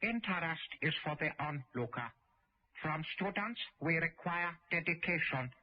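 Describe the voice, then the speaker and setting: An actor playing a stern, solemn karate master in a radio drama, formal, deliberate and severe. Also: male voice, fairly high pitch, lively intonation. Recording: dull old radio recording.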